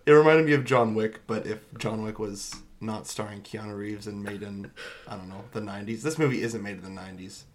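A man's voice: on the low side, a little fast, a little hiss or hum. A man co-hosting a podcast, chatting informally and bluntly about films. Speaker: podcast co-host. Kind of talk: casual movie review chat.

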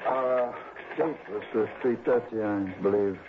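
A man's voice: on the low side, fairly even intonation, unhurried, phone-quality sound, natural voice. A man playing a fussy, stingy, pompous insurance executive in 1960s radio drama style. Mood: prim, testy. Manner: prim, precise, pompous. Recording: thin, noisy old radio recording.

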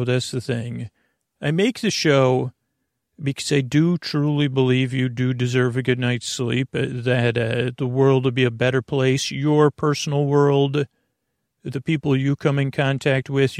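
Rambling gravelly-voiced man hosting a sleep show. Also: mid-range voice, dry recording, clean studio sound. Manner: meandering, self-interrupting, gently joking. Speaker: sleep podcast host. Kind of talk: rambling casual monologue.

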